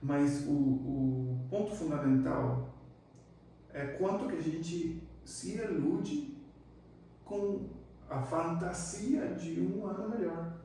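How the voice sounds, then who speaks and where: A man from Argentina, a psychiatrist guest talking in a relaxed, reflective way with many fillers. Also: on the high side, takes it slowly, slight background noise. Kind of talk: casual interview chat. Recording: echoey room, distant mic.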